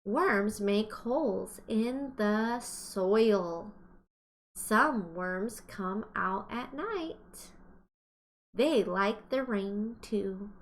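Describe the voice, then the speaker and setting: A woman teacher reading aloud to young children, explaining as she goes and asking questions in an exaggerated, encouraging way. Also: medium pitch. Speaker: kindergarten teacher.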